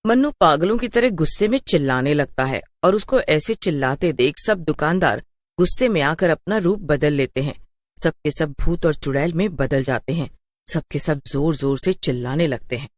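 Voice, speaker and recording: A woman narrates a scripted horror story as its storyteller. Her pitch sits on the low side with lively movement, and the recording is very dry. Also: moderate pace, dry recording.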